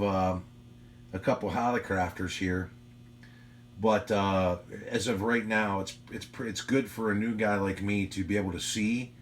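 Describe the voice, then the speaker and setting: A man with a low voice talks casually as a ham radio operator at home. He is chatty, unhurried and modest about himself, with a faint hum behind him. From United States. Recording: faint hum and background noise.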